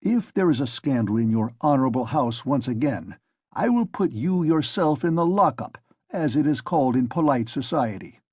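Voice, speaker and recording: A pompous police officer berates a woman in a loud, bullying tone, as a male reader acts him out. His voice runs a little higher and louder than the narration, with a husky grain. The sound is dry and close. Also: expressive, moderate pace, no room sound. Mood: stern scolding. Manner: shouting, bullying, contemptuous.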